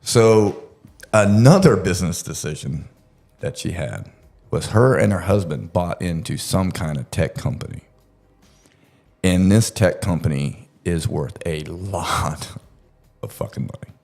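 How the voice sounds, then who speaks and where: Podcast storyteller, male, low voice, very animated range, crude and jokey, loose conversational true-crime delivery. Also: unhurried. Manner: crude, joking, laughs through the telling.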